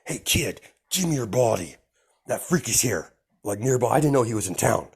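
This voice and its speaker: A man's voice: medium pitch, lively intonation, normal speed, gravelly, breathy edge, full character voice. A man voicing a menacing sludge monster villain in a fan-fiction story, speaking in blunt threats.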